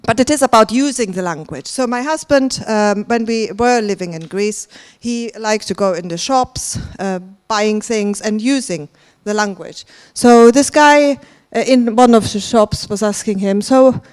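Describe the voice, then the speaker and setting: A woman's voice: on the high side, measured pace, hardly any pauses, darkish tone, smooth. A woman from Germany hosting a conference, chatting with the audience and laughing often. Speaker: conference host. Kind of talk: conference stage address. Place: large conference hall.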